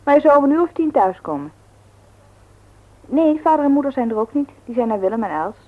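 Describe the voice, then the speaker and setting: A woman's voice: sits high, sing-song, a little fast. An actress playing a composed, independent-minded woman in a radio play, speaking in a firm, matter-of-fact manner. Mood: casual, friendly. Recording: dull old radio recording.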